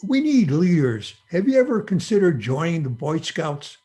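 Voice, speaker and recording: A man's voice: fairly high pitch, sing-song, moderate pace, mellow. An actor playing a cheeky, eager boy, perky and inquisitive, in an old-time radio comedy. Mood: eager, curious.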